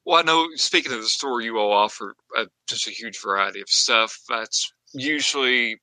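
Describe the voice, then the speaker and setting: Very bright, forceful male interviewer. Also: mid-range voice, normal speed, dry recording, poor audio. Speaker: podcast interviewer. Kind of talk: casual chat.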